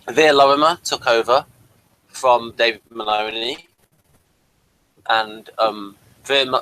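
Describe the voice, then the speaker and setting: A man with a very bright, forward voice at a middle pitch talks casually as a fan co-host. He rambles through trivia haltingly, often restarting and repeating his phrases. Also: rough recording. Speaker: podcast co-host. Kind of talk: casual fan discussion with behind-the-scenes trivia.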